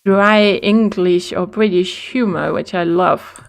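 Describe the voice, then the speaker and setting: A woman's voice: lowish voice, gravelly. A woman with a Danish accent, chatting casually while playing a building game and explaining things as she goes. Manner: chatty, upbeat, quick laughs. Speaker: Minecraft teacher and co-host. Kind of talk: casual gaming chat.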